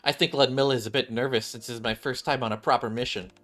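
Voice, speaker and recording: An eager young woman survivalist from a video game, read out by a man. The rookie is proud and quick to defend herself, talking on with chatty overconfidence. Music plays behind the voice. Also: mid-range voice, steady tone, fairly bright tone, lightly acted. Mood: boastful, insistent.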